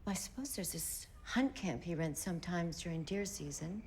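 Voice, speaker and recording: An actress playing a worldly, unruffled wife, sardonic and frank, in a period drama. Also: female voice, lowish voice, expressive, normal speed, a little mellow, slight background noise, natural voice. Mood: guarded. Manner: wry, frank. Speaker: actor.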